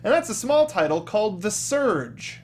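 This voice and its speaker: A man hosting a talk show, chatting casually and telling stories in animated, slangy language. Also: high-pitched, moderate pace. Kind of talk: casual chat about video games.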